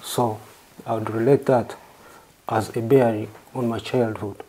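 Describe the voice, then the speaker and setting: Mellow Ugandan man, halting and formal. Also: lowish voice, steady tone, slight background noise, rough recording. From southern Uganda. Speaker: interview guest. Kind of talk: personal interview answers.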